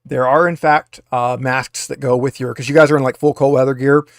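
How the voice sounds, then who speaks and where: A man's voice: medium pitch, fairly even intonation, a little fast. A man acts as game master for a tabletop roleplaying session. He explains and narrates in a loose, conversational style, with a dry sound free of room echo.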